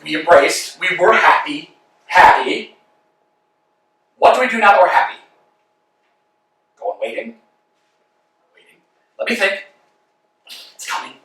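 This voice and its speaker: An actor playing Vladimir, an eager, fussy male tramp, in a staged reading, swinging between hushed musing and excited outbursts. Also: high-pitched, unhurried, pauses often, exaggerated voice. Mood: fussing. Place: indoor rehearsal room. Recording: echoey room from far off.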